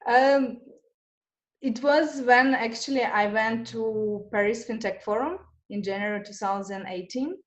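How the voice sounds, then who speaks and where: A woman's voice: on the high side, measured pace, low-quality recording. A woman from Russia who speaks, answering interview questions in a hesitant way, with many fillers and restarts. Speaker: interview guest. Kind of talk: conversational interview.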